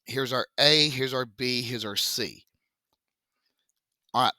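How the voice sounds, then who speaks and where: A male math teacher with a deep, froggy voice, explaining a lesson slowly and joking with the class. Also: bright, forceful tone, dry recording, studio quality. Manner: joking, chatty, slow step-by-step explaining. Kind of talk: casual classroom lesson video.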